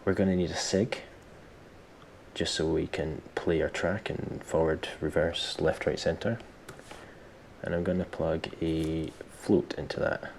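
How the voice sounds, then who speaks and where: A man presenting a software tutorial step by step, informally thinking aloud as he explains. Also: very deep voice, fairly even intonation, measured pace, slight background noise. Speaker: tutorial host.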